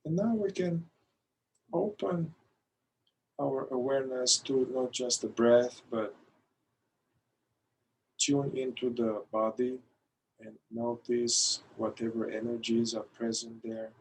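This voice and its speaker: A man leading a guided meditation in a calm, gentle manner, pausing between short phrases. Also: medium pitch, a little hiss or hum, rough recording. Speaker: meditation guide, somatic therapist.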